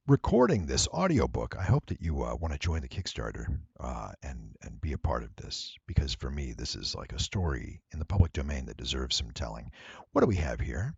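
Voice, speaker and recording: Male tutorial presenter, deep and creaky, rambling and playful, slightly dull recording. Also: no room sound. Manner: rambling, playful, exclaiming to viewers. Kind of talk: casual software walkthrough, thinking aloud.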